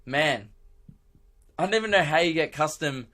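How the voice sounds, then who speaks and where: A young man with a hoarse, worn-out voice, rambling excitedly on his own podcast, laughing and swearing as he goes. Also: on the high side, normal speed. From Australia. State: hoarse, lost voice. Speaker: podcast host and radio presenter. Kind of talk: casual solo podcast chat.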